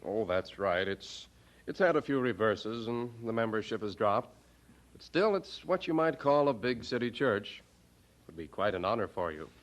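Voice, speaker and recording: Actor as low-voiced, kindly seminary dean. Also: male voice, lively intonation, normal speed, close to an everyday voice. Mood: kindly, thoughtful. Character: kindly, measured older male seminary dean. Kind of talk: radio drama acting.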